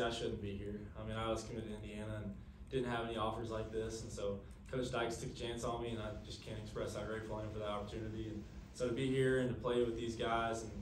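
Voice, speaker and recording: A young man answering questions at a postgame press conference, earnest and quick, with lots of fillers. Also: on the low side, little pitch movement, background noise. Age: young adult. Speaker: college quarterback. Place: press room, seated at a table. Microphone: table mic, camera at a distance. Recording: echoey room, from a distance.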